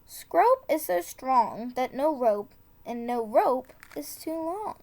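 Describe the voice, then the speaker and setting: A high-pitched woman reads a children's tongue-twister storybook aloud. Her pace is slow and deliberate, and she steps carefully through the tricky words, correcting herself when she trips. Casual asides slip in between the rhymes. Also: big pitch swings, darkish tone. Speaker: storybook reader.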